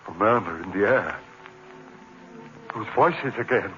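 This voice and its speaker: A man's voice: lowish voice, animated, unhurried, a bit bright, faint music behind. A man playing a haunted lecturer driven to madness, narrating darkly and breaking into frenzied cries in an old radio drama. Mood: brooding. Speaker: narrator and lead. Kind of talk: 1940s radio acting. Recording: muffled, faintly hissing old radio recording.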